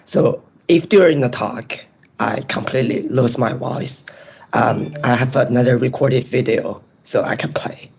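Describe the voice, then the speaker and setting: A man with a hoarse, nearly lost voice, presenting his research in a webinar and explaining step by step over a video call. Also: medium pitch, measured pace, a little mellow. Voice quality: raspy, strained. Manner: explains step by step with frequent ums.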